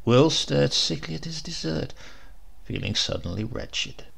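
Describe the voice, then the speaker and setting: A man's voice: fairly high pitch, lively intonation, a little slow, creaky, a bit bright, husky. A man narrating a horror story as a dramatic audiobook reading.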